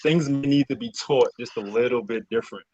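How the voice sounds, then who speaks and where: A man talking casually as an interview guest, halting and searching for words, often interrupting himself. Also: medium pitch, muffled recording, heavy background noise. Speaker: interview guest, cast member. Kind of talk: casual conversational interview talk.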